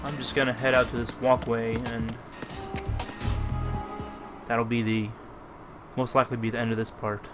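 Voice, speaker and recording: A man's voice: mid-range voice, little pitch movement, moderate pace, long pauses, over music. A man giving casual gameplay commentary. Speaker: gamer.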